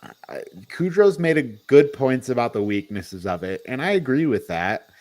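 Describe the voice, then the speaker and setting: A man's voice: medium pitch, sing-song, normal speed, a little mellow. A man co-hosting a casual movie review discussion, thinking aloud in an informal, hedging way. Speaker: podcast co-host reviewing movies. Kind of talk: casual panel chat.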